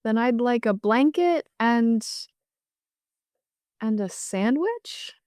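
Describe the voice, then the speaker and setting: A man voicing an anxious, bewildered, kindly lady in an audio story, halting and repeating words. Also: extremely high pitch, lively intonation, a little mellow, clean tone, no room sound, studio quality, pitched above the performer's own voice, heavily acted. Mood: timid, sad.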